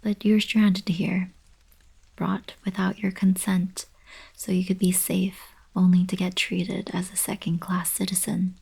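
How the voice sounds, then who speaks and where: Girl acting as soft husky alien princess, kinda shy but regal, whispery asmr roleplay, slow and mellow. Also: lowish voice, completely flat delivery, heavily acted. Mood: gentle, sorrowful. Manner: soft-spoken, breathy, half-whispered, hesitant. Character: young alien princess, gentle, caring, regal yet shyly flustered.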